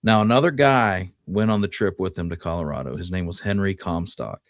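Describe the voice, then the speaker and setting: A man co-hosting a talk show, telling history conversationally and pausing now and then to recall details. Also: sits low, dry recording. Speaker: podcast co-host.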